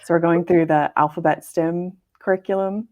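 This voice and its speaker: A woman's voice: lowish voice, fairly even intonation, normal speed, phone-quality sound. A young woman, a kindergarten teacher, co-hosting a podcast from her classroom in an upbeat, talkative casual chat.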